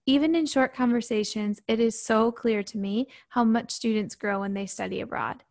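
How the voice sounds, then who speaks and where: Upbeat woman host with a gravelly edge. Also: fairly high pitch, moderate pace, a little mellow. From Michigan. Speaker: podcast host. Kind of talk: reading a prepared intro, then interviewing.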